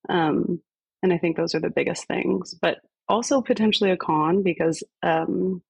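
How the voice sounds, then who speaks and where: A woman in her thirties, a podcast guest, talking in a relaxed, reflective way with many fillers. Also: on the low side, moderate pace, vocal fry, breathy edge, dry recording. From grew up on a base in Spain. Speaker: guest, fire department fitness coordinator. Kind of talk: conversational podcast interview.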